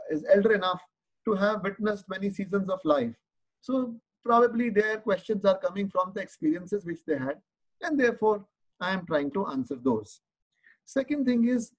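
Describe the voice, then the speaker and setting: A man's voice: high voice, breathy edge, low-quality recording. A man, a teacher answering questions in a live online session, earnest and explanatory, often repeating words as he searches for them.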